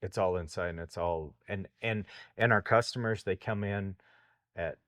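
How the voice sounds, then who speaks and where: A man's voice: low-pitched, moderate pace, a bit bright, dry recording, studio quality. A man hosting a farm talk show, chatting in a plainspoken, folksy way. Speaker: host. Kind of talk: casual radio talk.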